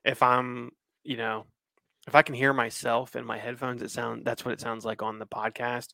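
Podcast co-host, male, relaxed and agreeable, slight fry, dry close mic. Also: mid-range voice, moderate pace, dry recording. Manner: easygoing, agreeable, laughs often. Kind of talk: casual chat over beers.

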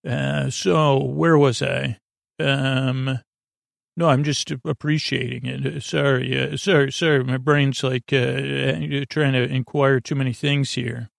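A man hosting a sleep podcast in a meandering, halting monologue that keeps drifting off topic, with a gravelly, creaky voice. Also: mid-range voice, level tone, dry recording, clean studio sound. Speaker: podcast host.

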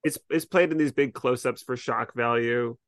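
A podcast co-host chatting casually about a TV episode, retelling things in an animated, conversational way. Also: mid-range voice, moderate pace, no echo, studio quality.

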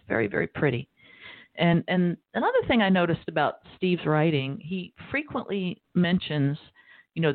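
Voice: medium pitch, vocal fry, no echo. A radio host raised in Baltimore, chatting loosely and rambling between songs on a music show. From New Orleans, grew up in Baltimore. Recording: dry, tinny phone-like sound.

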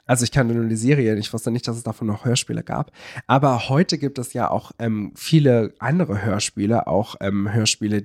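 A young man explains things casually on a podcast, haltingly searching for words and correcting himself. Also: fairly low pitch, vocal fry, darkish tone, no room sound, studio quality. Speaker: podcast co-host.

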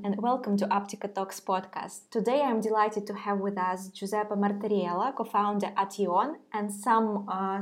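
A woman hosting a podcast, asking long questions with many fillers. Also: mid-range voice. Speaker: podcast host.

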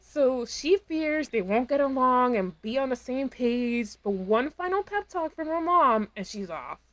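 A woman co-hosting a podcast, recapping a reality show in casual chat, animated, laughing often and acting out quotes. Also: high-pitched, low-quality recording. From Dominican heritage. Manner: animated, talks with her hands, quick laughs, acts out quotes. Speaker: podcast co-host.

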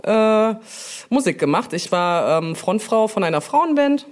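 A woman's voice: medium pitch, very animated, measured pace. A middle-aged woman talking casually and explaining things as an interview guest, often feeling her way through sentences. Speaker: brand manager and interview guest.

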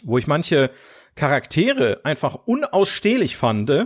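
A man's voice: on the high side, sing-song, unhurried. Enthusiastic young German man, colloquial and sweary. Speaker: podcast host. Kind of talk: casual chat about films and series.

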